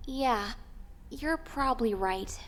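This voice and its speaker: Woman acting young female cartoon pony, very high pitch, swooping intonation, fretful, guilt-ridden, earnest, audio storybook drama. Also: animated, slow, a bit bright, a little hiss or hum, full character voice. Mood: resigned. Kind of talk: dramatic fan-fiction audio reading.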